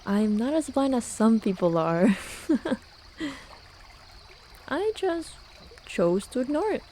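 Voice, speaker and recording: A woman's voice: fairly high pitch, very animated, slow, dark tone, slight background noise. A young woman acting a playful, teasing student friend in a casual, intimate ASMR roleplay. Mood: playfully teasing. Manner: casual, teasing, with sighs and chuckles. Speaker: voice actor. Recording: running and trickling water behind voice. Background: hot spring water ambience.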